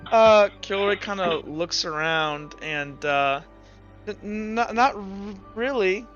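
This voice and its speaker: A man running a tabletop game as game master, talking in halting bursts with restarts, laughing often. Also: high-pitched, animated, fairly bright tone, muffled recording, soft background music.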